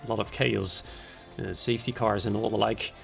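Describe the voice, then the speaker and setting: A man commentating a sim racing league stream in a chatty way, his voice hoarse and raspy after an illness. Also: fairly low pitch, steady tone, normal speed, a bit bright, soft background music. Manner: chatty, conversational, greets viewers by name. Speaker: race commentator and league host.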